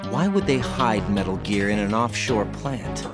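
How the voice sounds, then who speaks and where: An actor playing an earnest young special-forces rookie in a video game, serious and inquisitive. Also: male voice, lowish voice, lively intonation, unhurried, over loud music. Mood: puzzled, serious. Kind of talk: video game cutscene acting.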